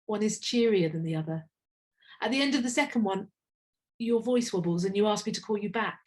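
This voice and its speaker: A middle-aged woman from England performs her own spoken-word poem in a slow, intimate, reflective way. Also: mid-range voice, darkish tone. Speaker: poet. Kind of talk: spoken word poetry reading.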